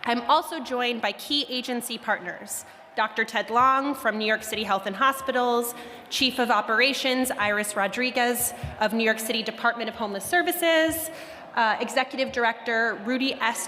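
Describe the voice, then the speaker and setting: A woman official reading her testimony at a steady, formal pace, her voice bright and a touch high. A long chamber reverb and faint room noise sit behind her. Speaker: city agency director testifying. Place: large council chamber.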